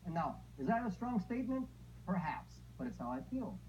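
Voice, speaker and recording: A woman from Texas with a very low voice and a swooping, lively pitch speaks bluntly and makes her points with sarcastic jabs. The sound is dull and slightly muffled, with faint noise behind her. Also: fairly quick. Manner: blunt and emphatic, with sarcastic asides. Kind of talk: opinionated interview talk.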